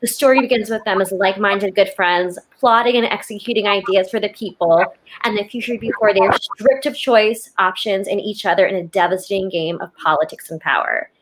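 A woman's voice: medium pitch, brisk, background noise. Young woman reading a show intro on a call. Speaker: co-host. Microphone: video call with earbuds.

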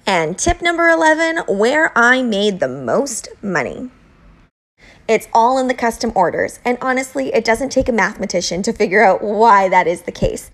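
A woman narrating scripted instructional tips as a home baker, explaining informally with clear emphasis on key points. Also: a little high, animated, normal speed.

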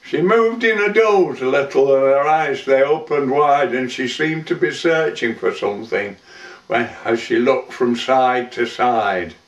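A man's voice: mid-range voice, close to an everyday voice. A man playing a weathered old lifeboatman, reciting a sorrowful dramatic monologue in folksy, plain-spoken verse. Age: older. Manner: measured, folksy storytelling with rustic dialect. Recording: roomy, dull, distant microphone.

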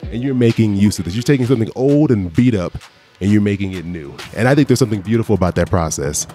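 A man's voice: on the low side, animated, quick pace, warm tone, soft background music. A man hosting a podcast, chatting casually and joking with a guest, laughing often. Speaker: podcast host. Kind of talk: casual podcast chat and playful debate.

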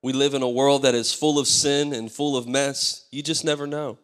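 Hoarse pastor preaching in a church hall. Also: male voice, mid-range voice, steady tone, moderate pace, fairly bright tone. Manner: preaching with call-and-response, asking the crowd for amens. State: losing his voice. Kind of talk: sermon. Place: large church stage. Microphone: handheld mic. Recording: live church hall reverb.

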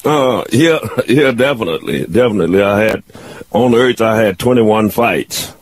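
A man in his sixties hosting a call-in radio show, talking in a rambling, halting way with many fillers. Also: on the low side, lively intonation, husky. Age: 60s. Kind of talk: casual call-in chat.

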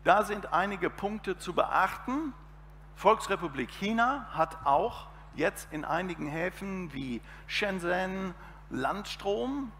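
A man's voice: high-pitched, animated, slow, fairly bright tone. A man in his fifties giving a talk on a conference panel, gesturing animatedly as he speaks on an outdoor event stage. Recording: reverberant PA sound with faint background noise.

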